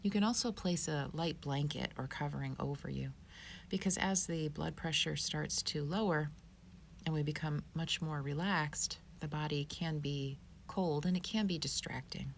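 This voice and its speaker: A woman teaching yoga, giving guided meditation instruction in a calm, soothing, unhurried manner. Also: low voice, creaky, a little hiss or hum.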